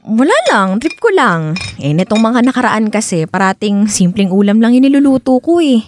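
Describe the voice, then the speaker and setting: An actress playing a young working girlfriend in a radio drama, teasing and practical, gently nagging in natural conversational dialogue. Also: a little high, sing-song. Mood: light, affectionate. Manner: teasing, chiding, with small laughs and drawn-out particles.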